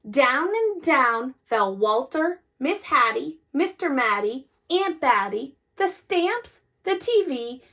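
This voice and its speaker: A woman reading a children's story aloud with deliberate, expressive phrasing. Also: sits high. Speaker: storybook reader. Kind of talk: children's read-aloud, scripted narration.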